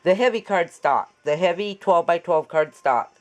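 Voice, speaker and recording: A woman with a raspy voice after an illness, chatting and joking as she demonstrates a paper craft in her home craft room. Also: fairly low pitch, expressive, normal speed. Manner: chatty, joking, laughs often. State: recovering from illness. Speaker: craft tutorial host. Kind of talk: casual chat while demonstrating a craft.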